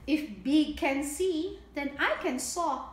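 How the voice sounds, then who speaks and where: A woman's voice: high voice, slow. A middle-aged woman hosting story time, reading a picture book aloud expressively and explaining things simply for young children. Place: indoor home room with table. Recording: echoey room with audible background noise.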